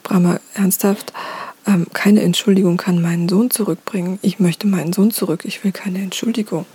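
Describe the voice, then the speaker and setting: A woman voicing a grieving, furious male wind god, whispering softly. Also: on the low side, fairly even intonation, moderate pace, slightly rough. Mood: angry grief.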